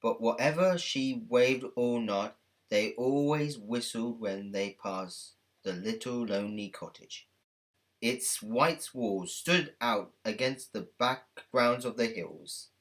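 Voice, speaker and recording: A woman narrating a children's story from a script in an expressive, deliberate way. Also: rumbling low, poor audio. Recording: music under the voice. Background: music.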